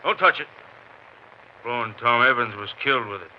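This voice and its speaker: A man's voice: mid-range voice, expressive, normal speed, natural voice. A man playing a terse, folksy, drawling country sheriff in a western radio drama. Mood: grim, laconic. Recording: muffled old radio recording, faint noise.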